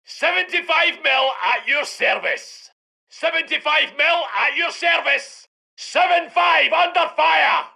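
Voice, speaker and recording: Brash british tank commander for a war game, really high pitched, gravelly, barking battle lines. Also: male voice, pushed and bright, heavily acted. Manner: barked battle cries and radio-style reports. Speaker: video game voice actor. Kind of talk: military game unit voice lines.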